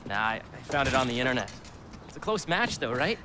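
An actor playing an eager, warm young man reuniting with his best friend in a video game cutscene. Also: mid-range voice, very animated, fast, background noise, close to an everyday voice. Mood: upbeat, teasing. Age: young adult. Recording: game audio with music behind.

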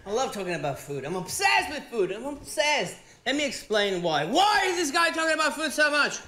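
A man's voice: very high-pitched, big pitch swings, fairly quick, bright, forceful tone, slight background noise. A man, doing a rambling, profane stand-up routine on stage with a handheld mic. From Venezuela. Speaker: stand-up comedian. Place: theater stage. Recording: echoey theater.

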